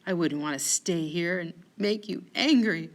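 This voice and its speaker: A woman reads a gentle noble wife in a stage tragedy. The manner is sweet, sincere and submissive. The figure speaks dutifully in a female voice, without any bite. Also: on the low side, measured pace, lightly acted. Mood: hurt, meek.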